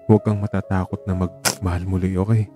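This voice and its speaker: A man playing an ailing, tender and teasing man in an audio drama, speaking softly and haltingly with sentiment. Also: sits low, level tone, soft background music, natural voice. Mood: sad, pleading. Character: ailing young man, tender, teasing, grateful to his companion. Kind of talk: Tagalog audio drama acting.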